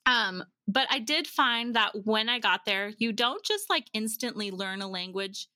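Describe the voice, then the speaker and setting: A woman's voice: a little high, measured pace, no echo, studio quality. A middle-aged woman telling stories in a casual, chatty interview style, as a podcast guest. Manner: chatty, animated retelling of exchanges in quoted dialogue. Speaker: podcast guest sharing family travel experience. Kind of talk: casual conversational storytelling.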